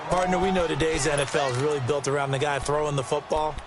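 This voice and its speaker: A man's voice: a little high, fairly even intonation, quick pace, over music, a crowd in the background, lightly acted. A male actor playing an emphatic, explanatory American color commentator in a football video game, talking in broadcast analysis style. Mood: emphatic, explaining.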